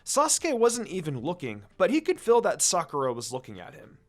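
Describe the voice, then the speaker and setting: A man's voice: fairly high pitch, huge pitch swings, a little fast. A man narrating a scripted story expressively, reading fan fiction aloud. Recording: music under the voice. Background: music.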